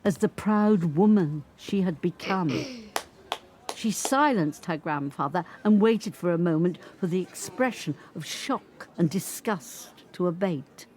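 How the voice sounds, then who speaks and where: An older woman acting an 85-year-old grandmother telling her story in a measured, deliberate dramatic monologue. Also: lowish voice, darkish tone. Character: 85-year-old grandmother recounting a family confrontation. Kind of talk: dramatic monologue, scripted narration.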